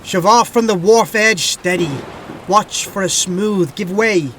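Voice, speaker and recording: A man's voice: high voice, a little slow, music in the background. A man giving a dramatic, storytelling recitation of verse.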